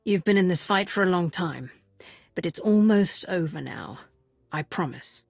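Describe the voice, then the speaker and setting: An actress playing a composed, resolute woman commander in a video game, speaking in a measured, firm way. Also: on the low side, expressive, vocal fry, no room sound, soft background music. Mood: calm, earnest. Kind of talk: dramatic video game voice acting.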